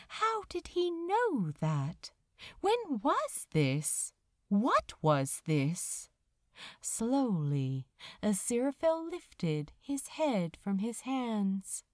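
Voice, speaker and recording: A woman narrates fiction in an expressive, dramatic style. She reads very slowly, with drawn-out pauses and a melody that rises and falls widely. The recording is dry and close. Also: a little high, no echo. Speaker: audiobook narrator. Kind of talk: expressive scripted story narration.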